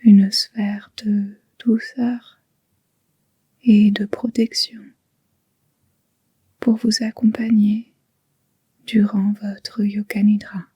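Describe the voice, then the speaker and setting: A woman guiding a yoga nidra relaxation in a soft, breathy near-whisper, gentle and soothing, with long unhurried pauses. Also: mid-range voice, flat delivery, dry recording, lo-fi sound. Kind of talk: guided relaxation meditation.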